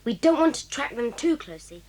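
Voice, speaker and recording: Cast: a woman as a keen young boy, lively and high, audio drama. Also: brisk, crowd noise. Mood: eager. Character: eager, determined schoolboy.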